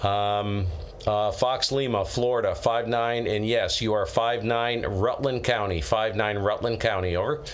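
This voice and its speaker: A man's voice: lowish voice, fairly even intonation, unhurried. A man operating amateur radio during a contest, courteously giving call signs in phonetic letters and procedural phrases. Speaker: amateur radio operator, live stream host. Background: radio static.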